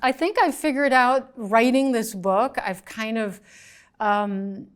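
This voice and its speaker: A woman's voice: on the high side, steady tone, slow pace, smooth. A middle-aged woman journalist, a guest in a studio interview, talking thoughtfully and gesturing as she speaks into a lapel mic, with some restarts. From United States, raised in France. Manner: articulate, thoughtful, with frequent restarts and fillers; gestures with her hands while talking. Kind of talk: interview conversation. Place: small studio set, indoors.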